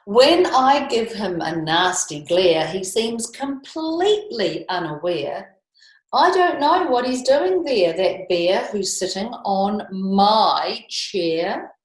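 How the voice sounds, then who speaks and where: Woman reading as a put-upon little mouse, slow and plaintive. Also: medium pitch, lively intonation, reverberant, low-quality recording. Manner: rhyming, plaintive complaint, drawn out. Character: small grumpy mouse whose chair is taken by bear.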